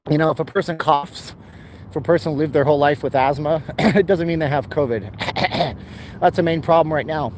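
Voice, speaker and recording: A man chatting casually as he walks and live streams on his phone, rambling and answering chat comments, with a dry throat he keeps clearing. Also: on the high side, fairly quick, a little mellow. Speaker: vlogger live streaming a city walk. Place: outdoors by a busy boulevard. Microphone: handheld phone. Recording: outdoor phone recording with traffic noise. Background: passing cars and street traffic.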